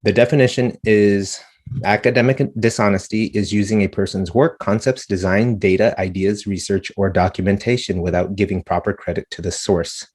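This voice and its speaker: A man's voice: fairly low pitch, steady tone, normal speed, darkish tone. A man working as a school dean, briefing parents on school policies in an earnest way, often repeating words and correcting himself. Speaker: dean of students. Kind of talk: informational presentation to parents.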